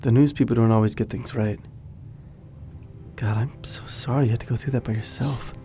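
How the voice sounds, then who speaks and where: A man playing an easygoing, skeptical but caring friend in an audio drama, casual and gently teasing. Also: mid-range voice, fairly even intonation, moderate pace, soft background music, lightly acted. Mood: sympathetic.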